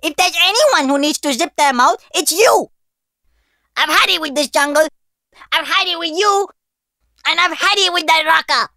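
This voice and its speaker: A woman voicing a small, cunning, put-upon male cartoon rabbit with an excitable manner. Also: very high voice, no room sound, studio quality, exaggerated voice. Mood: exasperated. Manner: pleading, quick-witted, excitable. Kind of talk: animated cartoon acting.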